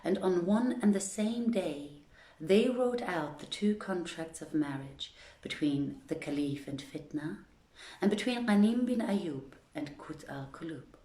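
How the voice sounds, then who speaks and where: A woman's voice: fairly low pitch, lively intonation, unhurried, dark tone, reverberant. A woman hosting an online story reading, speaking to listeners and reading literary tales aloud. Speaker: reader of stories to an online audience.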